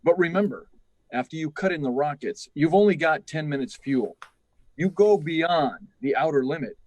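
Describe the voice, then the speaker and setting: A man's voice: on the high side, animated, normal speed, darkish tone, no room sound, low-quality recording. Stern, dry-sounding actor as a male project chief. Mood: grave, insistent. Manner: insistent, instructing. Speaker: radio drama actor. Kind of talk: 1950s radio drama acting.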